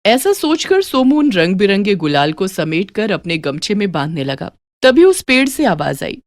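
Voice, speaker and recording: A woman narrating a scripted children's moral story in an expressive storytelling manner. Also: medium pitch, normal speed, no echo, clean studio sound. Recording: faint music behind.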